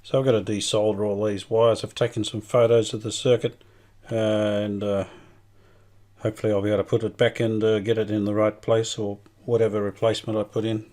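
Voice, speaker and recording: A middle-aged man, talking casually while he tests and repairs an old radio in his workshop, thinking aloud with many pauses and restarts. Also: lowish voice, level tone, a little mellow. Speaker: radio restorer, channel host. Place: home workshop bench.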